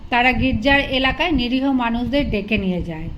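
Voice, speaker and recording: Wary royal adviser, male read by a female narrator, respectful but insistent, somewhat high voice, noisy audiobook recording. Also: lively intonation, brisk, fairly bright tone. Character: earnest, wary male courtier advising his king. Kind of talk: audiobook character dialogue.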